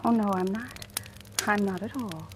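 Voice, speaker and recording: An actress playing a timid, devoted young woman in a 1940s style radio drama, speaking softly and hesitantly. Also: medium pitch, normal speed, background noise, lightly acted. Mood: nervous. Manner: hesitant, soft-spoken. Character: timid but devoted young woman, new household employee. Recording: old radio recording, music behind.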